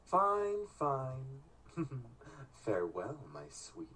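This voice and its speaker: Suave, flirtatious gentleman, lively swoops. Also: medium pitch, drawn-out words, slight background noise, exaggerated voice. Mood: amused, parting. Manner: suave, courtly, flowery. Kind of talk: visual novel game acting.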